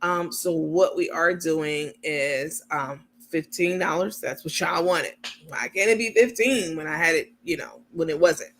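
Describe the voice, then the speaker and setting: Casual stream host, male, high and gravelly, chatty step-by-step explainer, lots of ums. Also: measured pace, a bit bright, a little hiss or hum. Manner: chatty, explains step by step while sharing screen; many filler ums, gets irritated at small details. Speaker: channel host. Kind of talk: casual livestream talk to viewers.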